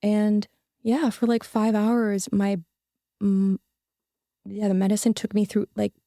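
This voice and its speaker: A young woman shares a personal story on a podcast, earnest and full of fillers and restarts. Her mid-pitched voice is warm and mellow with a gravelly edge. The sound is dry and close. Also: level tone, takes it slowly, no room sound. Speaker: podcast guest. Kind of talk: casual reflective storytelling.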